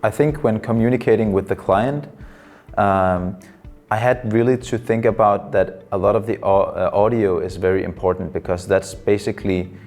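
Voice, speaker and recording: Deep-voiced Danish man in his twenties. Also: darkish tone, faint music behind. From Denmark. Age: 20s. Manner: thoughtful, pausing often with fillers. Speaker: psychology student and counselor. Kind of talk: interview answers.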